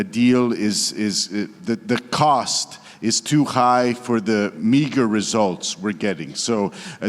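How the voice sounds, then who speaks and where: A man from Croatia, speaking as a politician on a conference panel, halting with many fillers and restarts but blunt in his opinions. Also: mid-range voice. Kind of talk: panel discussion remarks. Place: conference hall. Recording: long reverb of a conference hall.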